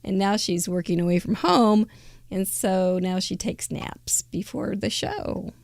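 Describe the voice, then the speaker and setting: A woman's voice: medium pitch, fairly even intonation, unhurried, vocal fry, darkish tone, no room sound, clean studio sound. A woman hosting a live crafting show, chatting playfully and laughing often. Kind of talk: casual live-stream chat.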